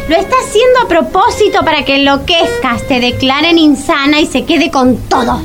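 A woman's voice: sits high, animated, normal speed, music in the background. An actress playing a bossy, blunt single woman with an Argentine accent, melodramatic in a comic radio drama. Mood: scolding. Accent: Argentine Rioplatense. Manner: scolding, melodramatic. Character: bossy, blunt, exasperated single female friend.

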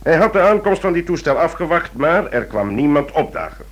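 An actor playing a self-assured British investigator in a radio drama, recounting a case with eager, deliberate relish. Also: male voice, a little high, sing-song. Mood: eager, teasing.

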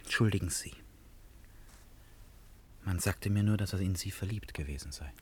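An actor playing an eccentric, impulsive, flattering suitor in a German radio play, talking in an effusive, rambling way. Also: male voice, low-pitched, normal speed, breathy edge. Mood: probing.

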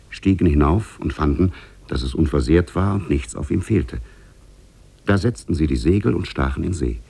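A man narrating an Arabian Nights tale in a 1950s radio play, measured and deliberate. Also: extremely low pitch, creaky, darkish tone, slightly rough, exaggerated voice.

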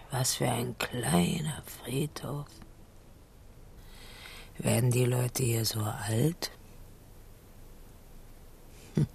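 Anxious old noble lady, breathy and creaky, super slow, rumbly radio play. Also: slight background noise, full character voice. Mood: musing. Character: anxious older noblewoman fretting over a young man. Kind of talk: German radio drama acting.

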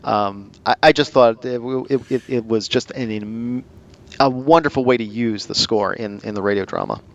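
A middle-aged man hosting a podcast in casual discussion, speaking haltingly and repeating words as he goes. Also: on the low side, vocal fry, muffled recording. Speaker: podcast host.

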